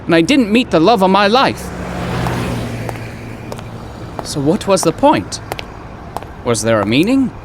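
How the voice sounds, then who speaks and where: An actor playing an earnest, awkward poetry professor, flustered and florid, in old-time radio drama style. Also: male voice, a little high, noisy background. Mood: despondent.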